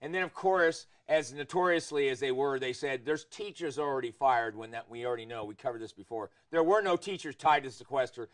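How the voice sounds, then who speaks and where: A man's voice: medium pitch, fairly quick, hardly any pauses. A middle-aged American man hosting a political talk show, giving his opinions in conversation.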